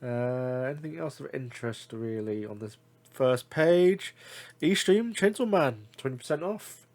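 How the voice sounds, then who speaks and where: A man's voice: medium pitch, lively intonation, unhurried, a little mellow. Congested casual male vlogger. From United Kingdom. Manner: rambling, many fillers and self-corrections. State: congested, blocked nose. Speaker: figure collector reviewing deals. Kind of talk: casual screen-share commentary.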